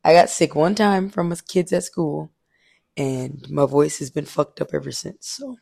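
A man co-hosting a casual podcast with a hoarse, deep, scratchy voice, talking in a blunt, joking way and swearing freely. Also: unhurried, darkish tone. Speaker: podcast co-host. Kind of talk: casual chat.

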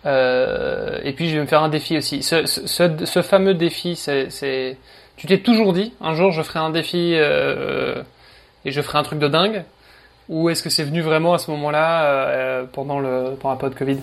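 A man's voice: a little high, normal speed, vocal fry. A man hosting a podcast, asking long rambling questions in a casual tone. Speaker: podcast host.

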